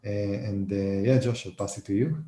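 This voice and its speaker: A man hosts an online presentation in a low, soft-edged voice. He speaks off the cuff over a roomy call with faint noise. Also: steady tone, normal speed. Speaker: host presenting rankings. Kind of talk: unscripted presentation. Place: indoor room on a video call. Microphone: headset on video call.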